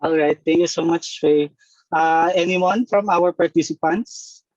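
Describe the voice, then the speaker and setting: A man moderates a webinar in a slightly high voice, polite and a bit hesitant. His audio comes through dull and dry, as over a video call. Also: steady tone, a little slow, no room sound. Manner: polite, hesitant, many fillers.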